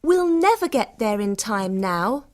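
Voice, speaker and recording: Audiobook role: actress as cynical young woman, biting and scornful, medium pitch, very animated. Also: a little slow. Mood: angry, exasperated. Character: sarcastic, cynical, quarrelsome young blonde woman traveller. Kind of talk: acted audiobook dialogue.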